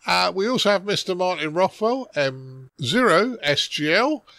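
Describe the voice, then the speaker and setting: A man's voice: sits high, animated, normal speed, sharp tone, dry recording, studio quality. A man casually hosting a podcast roundtable, introducing things in an unscripted way.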